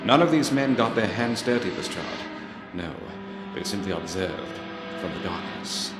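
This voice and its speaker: A victorian detective, low voice, precise and analytical, big echoey hall with music behind. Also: male voice, expressive, normal speed. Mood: grave, wry. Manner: precise, declarative, theatrical deduction. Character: keen, self-assured male Victorian detective, coolly analytical. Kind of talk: dramatic film dialogue. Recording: reverberant hall sound with music underneath.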